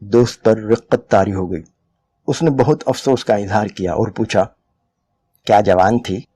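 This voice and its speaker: A man's voice: on the low side, moderate pace, warm tone, breathy edge. A man reading a literary short story aloud as dramatic scripted narration. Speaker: dramatic reader of short stories.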